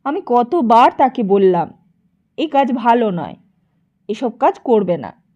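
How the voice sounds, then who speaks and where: A woman voicing a smooth-talking, meddling gentleman who moralises and flatters in an audiobook. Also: on the high side, takes it slowly, lo-fi sound, full character voice. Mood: feigned indignation. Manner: ingratiating, moralising.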